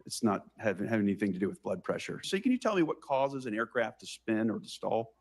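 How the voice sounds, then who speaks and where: Brisk American senator, fairly low voice. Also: male voice, sing-song, creaky, darkish tone. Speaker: senator questioning a nominee. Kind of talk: formal hearing questioning.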